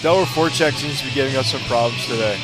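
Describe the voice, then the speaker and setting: A man's voice: mid-range voice, very fast, poor audio. A man giving live color commentary on a hockey game in a plain, conversational way. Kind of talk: live sports analysis. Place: ice rink during a live game. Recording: music playing loudly behind live commentary.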